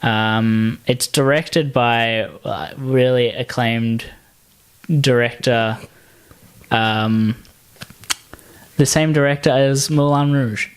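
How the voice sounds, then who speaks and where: Man, medium pitch, podcast co-host, casual improvised pitching, hesitant, frequent pauses. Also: steady tone, clear, resonant tone.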